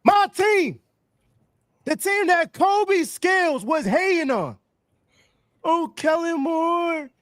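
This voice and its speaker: A man hosting a casual sports podcast, hyped and loud, breaking into a team chant. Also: extremely high pitch, no room sound, clean studio sound. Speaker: podcast host.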